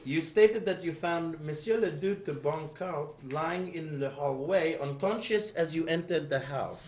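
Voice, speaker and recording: A man's voice: a little high, measured pace, highs cut off, natural voice. A man playing an officious prefect of police in a hammy radio-play parody. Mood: officious, theatrical. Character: French prefect of police presiding over a trial.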